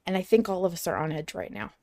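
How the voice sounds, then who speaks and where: A woman's voice: on the low side, fast, gravelly, warm tone, no echo. A woman co-hosting a fan podcast in a casual, informal discussion style, swearing freely. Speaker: podcast co-host.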